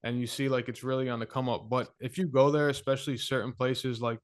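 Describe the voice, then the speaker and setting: A man's voice: medium pitch, level tone, quick pace. A man speaking as a podcast guest, laid-back and candid in casual talk. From United States. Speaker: guest musician.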